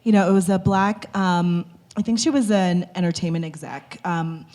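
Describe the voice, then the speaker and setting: Low-voiced woman panelist, relaxed. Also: moderate pace. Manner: relaxed, conversational, frequent "like" and "you know". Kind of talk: panel discussion.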